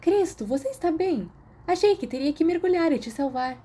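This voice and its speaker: A woman's voice: very high-pitched, expressive, moderate pace, dark tone, voice pushed up. A woman with a Brazilian Portuguese accent voicing a friendly, playful teenage schoolgirl in an audiobook. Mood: concerned.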